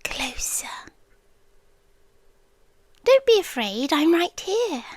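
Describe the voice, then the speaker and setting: Woman acting a very slow, whispering British yandere girlfriend. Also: extremely high pitch, sing-song, no room sound, heavily acted. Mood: eerie, teasing. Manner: slow, breathy whispering with long pauses. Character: obsessive yandere girlfriend, teasing, eerie, possessive. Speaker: ASMR roleplay performer. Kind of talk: whispered ASMR roleplay.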